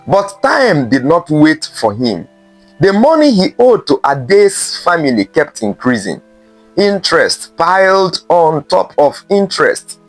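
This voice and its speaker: A man narrating a scripted folktale in a measured way, with dramatic pauses between short phrases. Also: on the high side, dry recording, poor audio. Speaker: storyteller.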